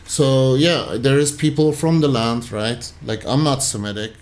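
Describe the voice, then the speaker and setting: A man's voice: medium pitch, unhurried. A man from Iran, hosting a livestream, commenting casually and thinking aloud as he reacts to his chat. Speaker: livestream host.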